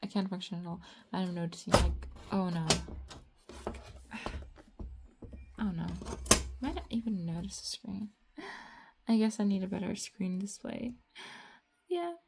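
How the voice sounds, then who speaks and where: A young woman from Latvia with a Latvian accent, vlogging in a slow, halting ramble full of fillers and soft laughs, tired after a night shift. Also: mid-range voice, animated, creaky, very noisy. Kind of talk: rambling casual monologue.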